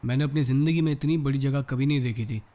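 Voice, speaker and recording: A man's voice: medium pitch, fairly even intonation, fast, dark tone. A man speaking earnestly as a cadet in an interview.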